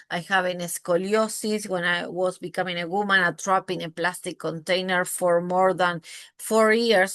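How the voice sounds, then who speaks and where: A woman's voice: mid-range voice, a bit bright, no echo. Effusive Argentine-accented woman, dry close sound. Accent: Argentine Spanish. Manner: effusive, swears casually, frequent tag questions. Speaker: brand and visibility mentor. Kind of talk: live masterclass talk to camera.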